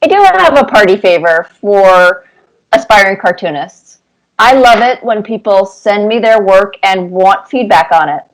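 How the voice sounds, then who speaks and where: A woman in her fifties speaks as an interview guest. Her delivery is casual and reflective, at a middle pitch, explaining things through small personal stories. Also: lively intonation, unhurried, poor audio. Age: 50s. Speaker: cartoonist guest being interviewed.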